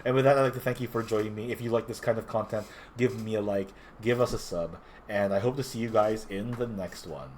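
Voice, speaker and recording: A man's voice: fairly low pitch, fairly even intonation, fairly quick, a little mellow, a little hiss or hum. A young man with a slightly nasal, under-the-weather voice, talking casually through a recap. He often breaks off and corrects himself mid-sentence as he goes. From Philippines. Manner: rambling, frequent self-corrections. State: a little sick. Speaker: tournament player reporting.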